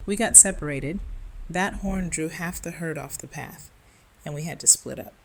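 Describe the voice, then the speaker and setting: A woman's voice: low-pitched, moderate pace, poor audio. A woman playing a grave, earnest survivor of a zombie apocalypse in an audio drama. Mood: sombre, urgent. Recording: clear background noise.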